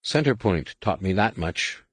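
Guy playing young idealist jedi brother, earnest n reflective, really lively pitch, sci-fi audiobook. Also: a little slow, husky, no echo, exaggerated voice. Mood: troubled, earnest. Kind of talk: audiobook science fiction character acting.

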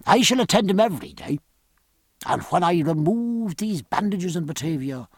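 A man voicing a learned ship's surgeon, drily earnest, with a measured, formal and pedantic manner. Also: sits high, expressive, slightly rough, dry recording, clean studio sound, pitched above the performer's own voice, heavily acted. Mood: grave, assured.

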